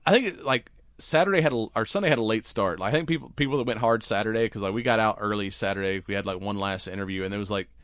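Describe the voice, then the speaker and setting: A man co-hosting a podcast, chatting casually and rambling with laughs, his voice raspy and worn. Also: fairly low pitch, fast, few pauses, fairly bright tone, no room sound, studio quality. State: raspy, voice worn from cigars and whiskey. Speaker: podcast co-host. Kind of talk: casual chat.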